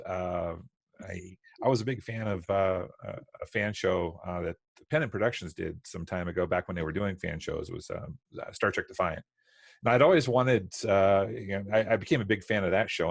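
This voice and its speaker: A man with a deep voice talks casually as part of a voice-actor panel. He is hesitant and repeats words, and the sound is dry and close. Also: gravelly, dry recording. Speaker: volunteer audio drama voice actor. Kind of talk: casual panel chat on a live stream.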